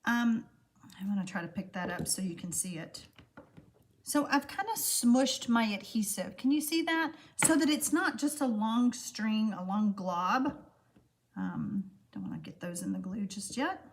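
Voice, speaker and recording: A middle-aged woman with a froggy, allergy-roughened voice, chatting casually with livestream viewers while demonstrating card making. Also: mid-range voice, lively intonation, unhurried, slight background noise.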